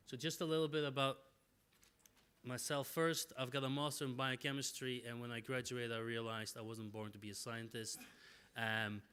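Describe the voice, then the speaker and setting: A middle-aged man from Belgium giving a conference presentation in a relaxed way, with frequent fillers and restarts. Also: mid-range voice, normal speed, clear, resonant tone. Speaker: conference speaker.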